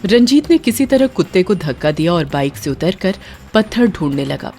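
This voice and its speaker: A woman's voice: lowish voice, very animated, normal speed, a little mellow, soft background music. A woman narrating a scripted horror story in a dramatic storytelling style.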